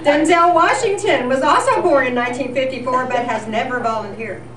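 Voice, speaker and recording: A woman's voice: high-pitched, brisk, crowd noise. A woman reading scripted, nostalgic narration as a presenter at a volunteer appreciation event. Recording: echoey room, distant mic, background noise.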